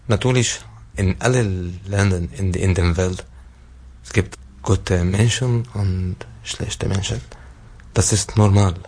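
A man in his thirties from Syria with an Arabic accent, speaking simply and haltingly as an interview guest. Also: sits low, darkish tone, a little hiss or hum. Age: 30s. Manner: simple, halting non-native phrasing. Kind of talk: personal talk in a radio interview.

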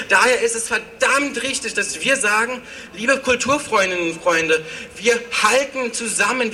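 Male rally orator, very sharp bright tone, emphatic delivery, picked up from a distance. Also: high voice. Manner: emphatic, repeats phrases. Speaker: speaker at a political rally. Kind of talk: rousing rally speech. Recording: distant from the microphone.

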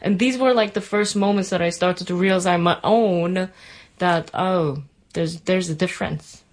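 A woman's voice: fairly low pitch, moderate pace. A young woman from Iran co-hosting a casual chat, laughing easily and often saying "like.". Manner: giggly, casual, frequent "like". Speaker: podcast co-host.

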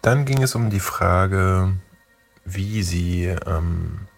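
A man presents in a low voice with a creaky, gravelly edge. He takes his time, linking interview clips as an informal host, conversational and a little hesitant. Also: very slow, faint music behind.

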